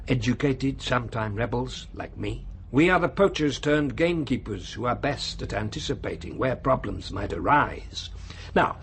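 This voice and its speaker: Coolly menacing ruler, swooping delivery. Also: male voice, medium pitch, a little hiss or hum. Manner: measured, lecturing, condescending. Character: male world ruler, urbane, coolly cynical, menacing authority. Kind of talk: radio drama acting.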